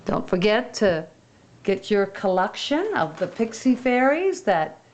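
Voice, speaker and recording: A woman narrates a toy unboxing at an unhurried pace. Her pitch swoops up and down in a sing-song, gentle way. Faint noise and the crinkle of packaging sit behind her. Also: big pitch swings. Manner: slow, gentle, sing-song show-and-tell.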